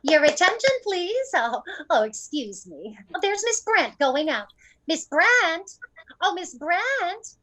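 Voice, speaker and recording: A woman's voice: very high voice, very animated, slow, pushed and bright, exaggerated voice, rough recording. A woman playing a gushing, fussy older mother, a doting party hostess in an old-time radio drama. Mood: excited, fussy.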